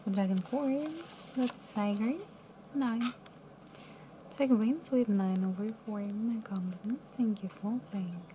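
A young woman dealing cards at a live casino table, calling results in a soft, mumbly voice that sinks toward a whisper. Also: medium pitch, a little slow, a little hiss or hum. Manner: soft, mumbly, drops to near whisper at round ends. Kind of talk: calling card game rounds.

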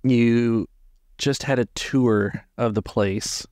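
A man's voice: mid-range voice, steady tone, takes it slowly, a little mellow, no room sound, studio quality. A man running a tabletop roleplaying game as game master, narrating scenes and explaining the rules.

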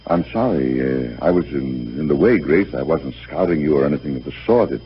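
An actor playing a gentle, shaken, grieving middle-aged father in a 1930s radio drama, halting and stammering apologetically. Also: extremely low pitch, expressive. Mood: apologetic. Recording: muffled, noisy 1938 radio broadcast.

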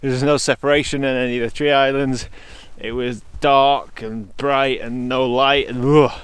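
Man, thirties, medium pitch, outdoor photography vlog, reflective and chatty. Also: fairly even intonation, moderate pace, clear, resonant tone, no echo. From England. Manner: reflective, thinking aloud with fillers. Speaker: landscape photographer vlogger. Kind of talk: casual vlog narration. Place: outdoors in winter Scottish highlands.

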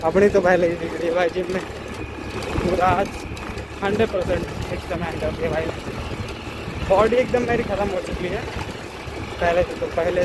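A man's voice: high-pitched, steady tone, moderate pace, long pauses, heavy background noise. A young man talking casually to viewers into a phone camera held at arm's length, worn out after a workout. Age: young adult. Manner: chatty, informal, addressing viewers as brother. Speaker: vlogger. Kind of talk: casual selfie vlog. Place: outdoors on a motorbike and in a small gym. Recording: phone audio with loud music over it. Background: loud music.

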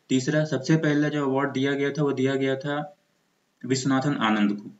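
An Indian man teaching exam preparation in an explanatory lecture style, mixing in English terms. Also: mid-range voice. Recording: muffled, echoey room recording.

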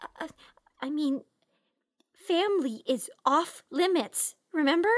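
A woman playing an excitable, naive and polite young mob boss's daughter in a comedy audio drama. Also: high-pitched, unhurried. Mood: alarmed.

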